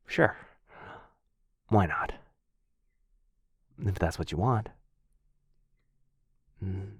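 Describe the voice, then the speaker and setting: A man playing a shy, affectionate young dog-person roommate in a boyfriend ASMR roleplay, speaking in a soft, halting, flustered way with a breathy, husky voice. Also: lowish voice, no room sound, studio quality, exaggerated voice. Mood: tender, tentative.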